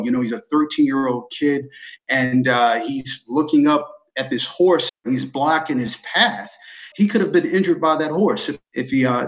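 American guy on a panel telling his own stories, average pitch, kinda muffled audio. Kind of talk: recounting personal experiences.

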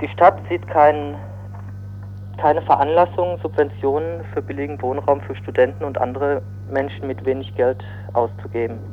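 A thin, humming telephone line carries a man's fairly high voice. He reads a prepared news report as a radio correspondent, halting and tripping over words here and there. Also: flat delivery, slight background noise. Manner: halting reading with stumbles. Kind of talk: scripted news report, read aloud.

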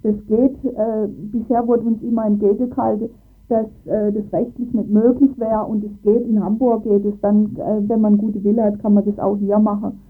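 A woman's voice: mid-range voice, level tone, moderate pace. A woman explaining local issues in a radio interview, often searching for her words. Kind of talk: political discussion in a radio interview. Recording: tinny phone-like band, music behind.

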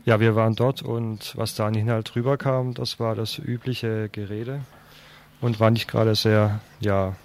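A man's voice: fairly low pitch, level tone, measured pace. A male radio reporter in an unscripted live discussion with a caller, explaining himself in a hesitant, defensive manner.

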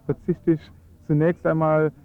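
A man's voice: fairly high pitch, monotone, a little slow, narrow, tinny audio. A man speaking German as his first language, earnestly explaining his views in a radio interview with frequent hesitations. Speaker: Esperanto advocate.

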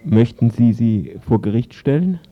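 A man's voice: mid-range voice, lively intonation, unhurried. A man asking formal questions as an interviewer. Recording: slightly dull radio sound.

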